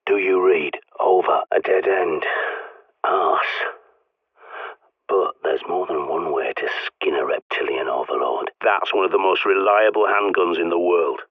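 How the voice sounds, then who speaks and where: Gruff British survivalist over tinny radio. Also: male voice, low-pitched, measured pace, no echo, heavily acted. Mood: brisk, instructing. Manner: blunt, sweary, commanding. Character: gruff, bossy, paranoid male doomsday survivalist guiding a survivor. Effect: radio transmission filter. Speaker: video game voice actor. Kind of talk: video game character dialogue. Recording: narrow, phone-like radio sound.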